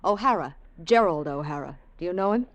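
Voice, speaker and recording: A woman's voice: fairly low pitch, expressive, fairly quick. An actress playing a composed, businesslike married woman in old radio style, polite and direct. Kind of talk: 1940s-50s radio acting.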